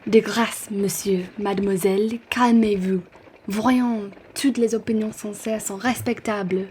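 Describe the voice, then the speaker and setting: A woman acts a courteous aristocratic count in a radio play. The voice is mid-pitched, formal and conciliatory, recorded with a little background noise. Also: fairly even intonation, measured pace, dark tone, lightly acted. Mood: placating. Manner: formal, polite. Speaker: student actor. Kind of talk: radio play acting in French.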